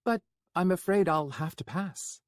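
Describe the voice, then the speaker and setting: Brief: a man as a prim, jittery sorcerer, shrill and forceful. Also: huge pitch swings, moderate pace, no echo, studio quality. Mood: shaky. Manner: high, shaky and shrill. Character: nervous, prim male sorcerer, shrill and fussy.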